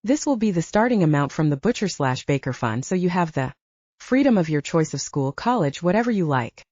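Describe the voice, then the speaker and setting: A woman reading the part of a calm, measured middle-aged man, a father and leader, in an audiobook, gently serious in manner. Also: lowish voice, dry recording. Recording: slightly dull lo-fi audio.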